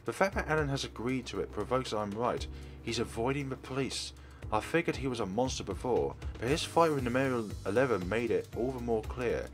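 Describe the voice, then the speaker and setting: A man's voice: mid-range voice, fairly quick. A man narrating a visual novel aloud as a let's player, reading expressively from the text. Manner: expressive reading, acts out dialogue. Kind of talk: narration read from on-screen text. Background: game music.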